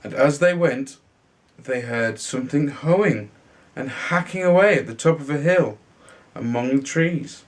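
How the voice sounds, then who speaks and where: A man reading a children's fairy tale aloud in a halting way, sometimes stumbling and repeating words. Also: mid-range voice, expressive. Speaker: storybook reader.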